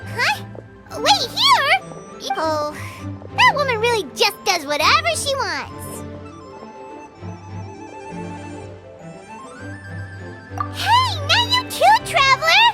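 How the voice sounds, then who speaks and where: A woman voicing a tiny, cheeky, excitable floating fairy with a childlike manner in an animated video game. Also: very high-pitched, takes it slowly, faint music behind, heavily acted. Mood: grumbling. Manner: childlike, talks of self in third person.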